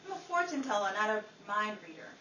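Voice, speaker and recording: A woman playing a glib, defensive Hollywood agent in an old-time radio comedy, breezy and quick with excuses. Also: medium pitch, animated, roomy sound, far from the mic, a loud crowd, very noisy, lightly acted.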